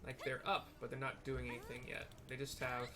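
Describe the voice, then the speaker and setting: A man's voice: mid-range voice, completely flat delivery, fairly quick. A man giving casual, informal live commentary on his own video game playthrough, thinking aloud as he goes. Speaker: gamer narrating own playthrough.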